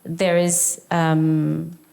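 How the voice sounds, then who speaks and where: A woman's voice: low voice, completely flat delivery, drawn-out words, gravelly, warm tone, smooth. A woman and festival curator answering on a public talk panel, thoughtful and searching for her words. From South Africa.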